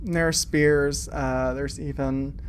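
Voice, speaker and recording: A man running a tabletop game, improvising narration in a halting, conversational way. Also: a little high, fairly even intonation, slight background noise. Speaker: game master.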